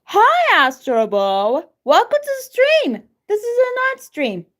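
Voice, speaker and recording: A woman from Turkey with a Turkish accent chats on her live stream. Her voice sits very high, and her pitch swings a lot. She talks slowly and stays excitable. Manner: excitable, greets chat viewers by name. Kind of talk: casual live-stream chat.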